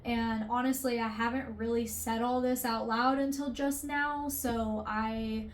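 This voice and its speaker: Woman, 20s, confessional vlogger; unhurried, rambling, emotional delivery, slightly high. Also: fairly even intonation, slight background noise. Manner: rambling, emotional, swears casually, many fillers. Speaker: artist vlogger. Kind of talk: candid confessional vlog.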